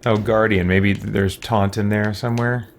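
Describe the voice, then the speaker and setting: A man's voice: lowish voice, flat delivery, moderate pace, slight background noise. A man hosting a let's play, thinking aloud casually and playfully as he works through a game.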